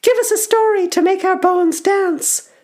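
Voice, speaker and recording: A woman voicing small, squeaky goblin children, giddy and easily scared, in a storybook. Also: measured pace, darkish tone. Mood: gleeful. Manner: giddy, exclaiming. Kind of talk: storybook character voice.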